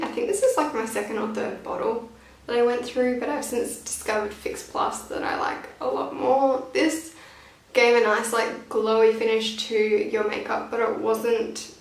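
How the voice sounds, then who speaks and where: A woman in her twenties with a fairly high voice talks in a chatty, informal way, like a beauty vlogger reviewing products. She is a little self-deprecating. The recording is clearly echoey, and she sounds set back from the microphone. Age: 20s. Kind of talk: casual product review chat to camera. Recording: roomy echo, distant from the mic.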